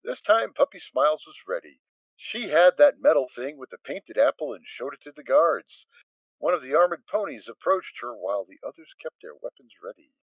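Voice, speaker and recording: A man's voice: a little high, sing-song, moderate pace, no echo, muffled recording. A man narrating a scripted story as a dramatic reading.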